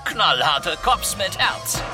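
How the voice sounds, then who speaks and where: A man's voice: high-pitched, big pitch swings, slow pace, music in the background, pitched above the performer's own voice, full character voice. A man parodying the grandiose, dramatic announcer of a vintage American cop series intro from the 1960s. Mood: bombastic. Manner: bombastic, dramatic.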